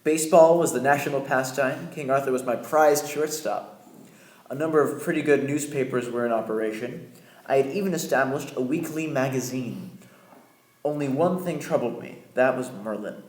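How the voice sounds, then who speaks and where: A man's voice: mid-range voice, moderate pace, darkish tone, roomy sound, lightly acted, poor audio. A man acting as an easygoing American adventurer narrating his story in a radio play. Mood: proud, wary.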